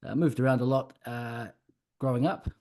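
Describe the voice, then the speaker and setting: Relaxed New Zealand man in his forties. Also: mid-range voice, moderate pace. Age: 40s. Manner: friendly, storytelling, fillers and repeats. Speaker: guest, electrician and business owner. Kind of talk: casual podcast chat.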